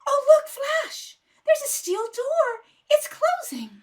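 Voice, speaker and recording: A woman's voice: very high-pitched, very animated, slow, darkish tone, exaggerated voice. A woman playing a frightened young heroine in an old-time radio serial, breathless and melodramatic. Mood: alarmed.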